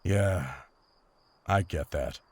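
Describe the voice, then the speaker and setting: A man's voice: low voice, noisy background. A man playing a seasoned, honorable monster hunter in a fantasy drama, with formal, restrained, serious speech. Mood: grave. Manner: formal, measured, serious wording. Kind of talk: fantasy audio drama acting.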